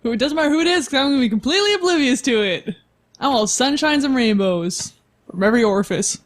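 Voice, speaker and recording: A woman's voice: high-pitched, lively intonation, fast. A woman chatting casually and playfully as a player in a tabletop game. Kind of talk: casual chat.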